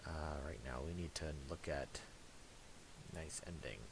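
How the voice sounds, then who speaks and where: Deep, creaky-voiced man thinking aloud. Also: measured pace. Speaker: software tutorial presenter. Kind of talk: casual screen-recorded tutorial narration. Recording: hissy home recording with clicks.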